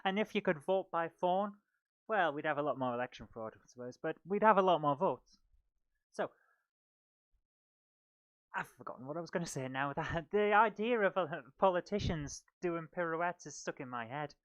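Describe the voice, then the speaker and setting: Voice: mid-range voice, lively intonation, moderate pace, smooth, no room sound. A comedian delivers a live stand-up monologue to an online audience. The delivery rambles and pokes fun at itself, in a close, dry recording that sounds slightly dull. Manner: rambling, self-deprecating. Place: small book-lined room at home.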